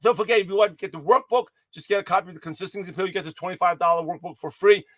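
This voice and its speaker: High-voiced, quick, hoarse man. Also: no echo, clean studio sound. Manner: energetic, rambling, with many restarts and self-corrections. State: hoarse, slightly raspy voice. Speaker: motivational speaker giving a daily pep talk. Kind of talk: livestream pep talk to viewers.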